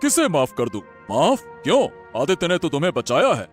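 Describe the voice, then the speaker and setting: A man's voice: on the high side, wildly swooping pitch, moderate pace, soft background music. A man voicing a trusting, regal king who speaks earnestly in a moral-story cartoon. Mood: suspicious. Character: trusting, grateful fairy-tale king. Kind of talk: animated Hindi moral-story dialogue.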